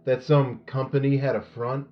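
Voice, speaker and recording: An actor playing a patient, skeptical middle-aged late-night radio host in an audio drama, with a measured, dry delivery. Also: male voice, medium pitch, little pitch movement, close to an everyday voice. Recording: dull lo-fi radio sound with music.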